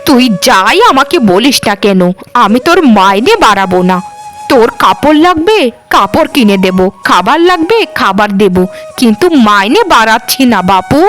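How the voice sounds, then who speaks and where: Woman doing bossy cartoon lady of the house, highish voice, brushes people off, wont budge. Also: sing-song, moderate pace, fairly bright tone, soft background music. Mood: dismissive. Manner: dismissive, unyielding. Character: stubborn, bossy mistress of the house. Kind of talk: Bengali cartoon dialogue.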